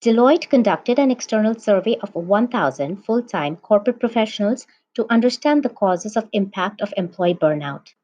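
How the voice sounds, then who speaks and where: Measured woman podcast host, dull sound. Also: medium pitch, animated, warm tone. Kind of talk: scripted podcast intro and interview.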